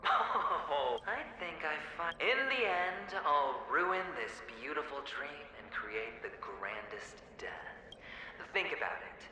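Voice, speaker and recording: A taunting phantom speaks in a video game, savoring words slowly. He is mocking and theatrical, sometimes dropping to a whisper. His voice swims in long reverb over clear noise. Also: on the high side, big pitch swings, pushed and bright, full character voice. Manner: mocking, drawn-out, drifting into whispers. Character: taunting, theatrical male phantom needling a gambler. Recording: very echoey, reverberant, noisy game audio.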